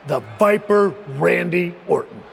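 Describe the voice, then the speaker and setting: An actor playing a domineering male wrestling faction leader in a video game, speaking in a slow, measured, condescending way. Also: sits high, creaky, noisy background, full character voice. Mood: menacing.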